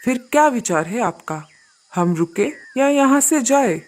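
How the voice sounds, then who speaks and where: A woman's voice: a little high, animated, a little slow. A woman voicing a demanding, businesslike male bird who leads a travelling flock in a children's cartoon story. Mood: questioning.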